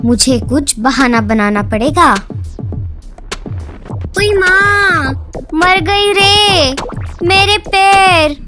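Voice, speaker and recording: Woman, cartoon bird voices, high voice, slow sing-song delivery, faint music underneath. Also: animated. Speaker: voice of several cartoon birds. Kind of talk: cartoon dialogue acting.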